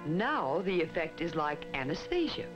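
An American woman reading scripted 1960s educational film narration in a measured, instructive way with emphatic phrasing. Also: low-pitched, big pitch swings. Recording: dull 1960s film soundtrack with music. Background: prominent music.

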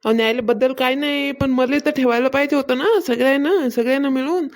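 A woman's voice: high-pitched, fast talker. A woman playing an aggrieved village housewife in a cartoon comedy, complaining colloquially with a rural Maharashtrian accent. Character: aggrieved village housewife fretting over her worship. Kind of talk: amateur cartoon comedy dialogue.

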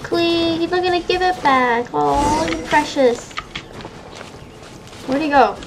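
A woman's voice: high-pitched, slow, noisy background. A woman giving casual gameplay commentary, cooing over and cheering on her characters. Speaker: gamer commentating a playthrough. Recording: game music loud behind voice. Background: loud video game music.

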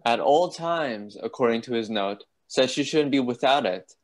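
A man playing a courteous, troubled gentleman doctor with polite, formal speech in a radio play. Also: medium pitch, lively intonation, moderate pace, natural voice. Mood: preoccupied.

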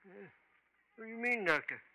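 A man's voice: high-pitched, expressive, moderate pace, pushed and bright, heavily acted, rough recording. An actor playing a plain-spoken, wary male college janitor, laconic and matter-of-fact, in 1950s radio style. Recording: 1950s radio drama recording.

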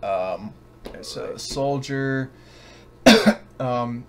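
A man narrating an online tabletop roleplaying game as game master, sometimes halting, over voice chat. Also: mid-range voice, a bit bright, slight background noise.

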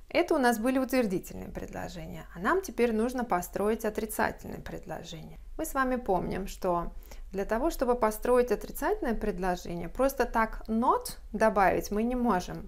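Woman, 30s, english teacher, patient whiteboard explanation, slow example phrases, medium pitch, lapel mic. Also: gravelly. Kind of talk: whiteboard grammar lesson. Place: bright room at a whiteboard.

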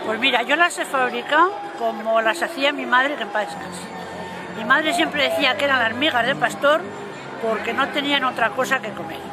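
This is an older woman. She explains casually and repeats phrases for emphasis. A loud crowd surrounds her in the open air. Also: high-pitched, fairly quick, music in the background. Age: 60s or 70s. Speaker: cooking contest entrant. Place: outdoor town square. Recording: outdoor camera sound with loud crowd.

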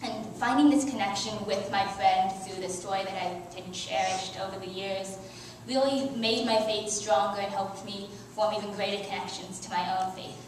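A teenage girl reading a prepared youth sermon at a church lectern, earnest, with soft laughs and small restarts. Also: medium pitch, fairly even intonation, moderate pace, a little hiss or hum. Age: teens. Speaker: youth speaker. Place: church sanctuary. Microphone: headset mic, filmed by far camera. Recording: very echoey church from far off.

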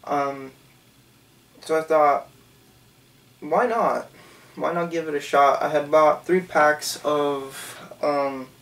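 A man's voice: a little high, steady tone, takes it slowly, pauses often, far from the mic. A young man in his twenties, from Canada, telling a story for his channel in a loose, rambling way, full of "um" and "like". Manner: rambling, lots of "um" and "like". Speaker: channel host. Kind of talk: casual storytelling vlog. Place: small bedroom, indoors.

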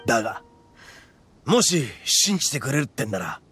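An actor playing a brash, proud young horned oni gang boss in an anime game, with rough, blunt, swaggering speech. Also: male voice, medium pitch, very animated, normal speed, a bit bright, faint music behind. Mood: resolute.

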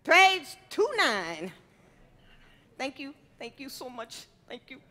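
A woman's voice: high-pitched, sing-song, takes it slowly, pushed and bright. A middle-aged woman chef giving a live cooking demo, playful and teasing with the crowd, on a headset mic in a large hall. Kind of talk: live cooking demonstration to an audience. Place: large indoor hall with a stage set. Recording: long reverb of a big hall.

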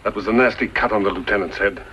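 An actor playing a calm, reassuring frontier doctor in a 1950s radio western, with matter-of-fact delivery. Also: male voice, fairly low pitch, fast. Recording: dull, noisy 1950s radio recording.

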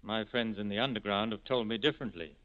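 An actor playing an earnest, steadfast resistance leader, grave and dignified, in 1940s radio acting style. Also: male voice, lowish voice, quick pace, close to an everyday voice. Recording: dull 1940s radio broadcast recording.

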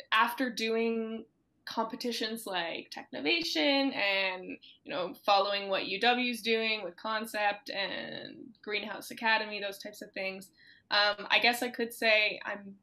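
A woman's voice: fairly high pitch, pushed and bright. A teenage girl answering interview questions over a video call from home, upbeat and giggly with lots of ums. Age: teens. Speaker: student entrepreneur guest. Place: home room with closet doors. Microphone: laptop webcam on video call.

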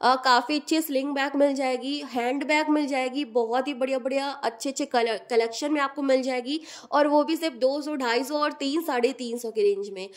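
Woman, high voice, chatty price-listing, outdoor market vlog. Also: steady tone, moderate pace. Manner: chatty, listing prices one after another. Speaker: shopping vlogger. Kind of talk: casual market tour narration.

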